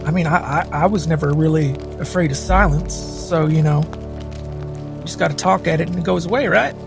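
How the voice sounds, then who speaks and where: Creaky, higher-voiced man, halting and chatty. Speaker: tabletop game player. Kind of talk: casual in-character roleplay. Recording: music behind the voice.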